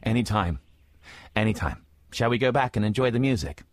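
Casting: man acting earnest snobbish sidekick for comic radio play, husky low voice, halting, flattering, pedantic. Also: no room sound, clean studio sound. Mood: earnest flattery. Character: sycophantic, snobbish male confidant, earnest and rambling.

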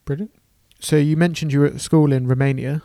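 Gravelly, flat-toned English man. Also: fairly high pitch, fairly quick, no echo, clean studio sound. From England. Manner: friendly, encouraging, hesitant fillers. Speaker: podcast host. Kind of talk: casual interview chat.

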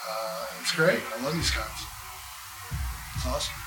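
A man's voice: mid-range voice, moderate pace, loud music behind the voice. A man talking casually as a panelist at a live convention panel, in a roomy, noisy space. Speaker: panelist, podcast producer. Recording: echoey room, clear background noise.

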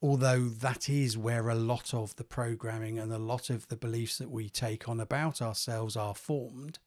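A man co-hosting a podcast, slightly croaky from a cold, talking thoughtfully with hesitations and repeated words. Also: mid-range voice, fairly even intonation, normal speed, darkish tone. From England. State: croaky, getting over a cold. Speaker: podcast co-host, therapist. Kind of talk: casual podcast chat.

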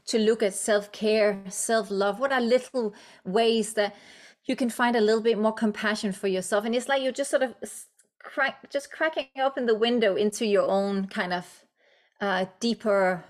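A woman's voice: medium pitch, normal speed. A woman from Denmark whose first language is Danish, a guest author and coach speaking English in a podcast interview, encouraging and reflective with frequent fillers.

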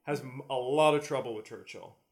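A man's voice: mid-range voice, fairly quick, gravelly. A man talking history casually as a panelist on a podcast.

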